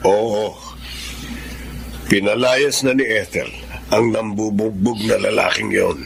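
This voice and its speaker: A Filipino actor playing a caring older brother who gently scolds and counsels in a radio drama. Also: male voice, lowish voice, steady tone, slow pace, creaky, noisy background. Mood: earnest, advising.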